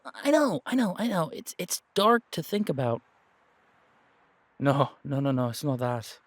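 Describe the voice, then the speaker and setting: Man voicing an anxious young werewolf, earnest, halting, unhurried, dry recording, audio drama. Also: fairly high pitch, very animated, no echo. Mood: reluctant, dark. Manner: hesitant, rambling, halting.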